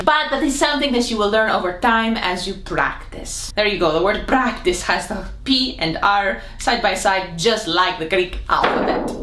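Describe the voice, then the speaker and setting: A woman teaching a comedic language lesson to camera, playful and theatrical, her voice jumping up in pitch now and then. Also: a bit bright. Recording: echoey room.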